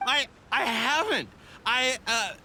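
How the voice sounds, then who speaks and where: High-pitched, nervous, shifty merchant. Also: male voice, lively intonation, slow pace, bright, forceful tone, a little hiss or hum, exaggerated voice. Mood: flustered. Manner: stammering, flustered, pleading. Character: nervous, shifty male merchant protesting his innocence. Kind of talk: anime video game voice acting.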